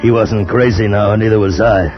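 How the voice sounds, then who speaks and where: An actor in old time radio drama playing a haunted adventurer, narrating and speaking with tense urgency on an old recording. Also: male voice, lowish voice, steady tone, brisk, lightly acted. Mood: haunted, tense narration. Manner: tense, hushed narration breaking into desperate cries. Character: Johnny, haunted male adventurer narrating a desert ordeal. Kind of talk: 1950s old time radio drama acting, first-person narration and dialogue. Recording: muffled old radio recording with music underneath.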